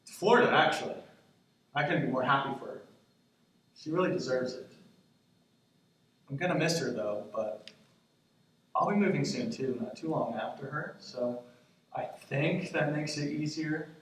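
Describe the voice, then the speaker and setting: A man in his twenties playing an anxious grandson in a stage monologue, talking in a halting, conversational, self-deprecating way. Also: a little high, expressive, fairly bright tone. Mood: fond, wistful. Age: 20s. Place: stage in a theater, recorded from the audience. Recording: echoey theater, distant mic, faint noise.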